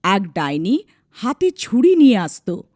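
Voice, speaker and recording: A woman's voice: mid-range voice, sing-song, unhurried, darkish tone, dry recording, studio quality. A woman storyteller giving a scripted, dramatic narration of a folk tale.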